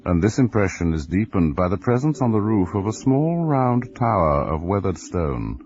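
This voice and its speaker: Very deep, sinister radio storyteller. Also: male voice, very animated, darkish tone, highs cut off. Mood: ominous. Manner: ominous, deliberate, theatrical. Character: sinister, macabre male storyteller host. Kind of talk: scripted radio horror narration.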